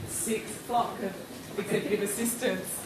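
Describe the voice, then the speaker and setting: A woman's voice: mid-range voice, steady tone, measured pace. A woman hosts a symposium and introduces a speaker, giving thanks with an occasional stumble. She sits far from the microphone in an echoing room, with noise underneath. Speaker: symposium host. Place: lecture room or studio space. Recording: roomy hall from far off, noisy.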